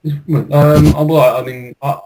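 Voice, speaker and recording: A man's voice: a little high, level tone, takes it slowly, darkish tone, poor audio. A man chatting casually on a podcast in a hesitant, polite manner. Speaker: podcast co-host. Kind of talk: casual chat.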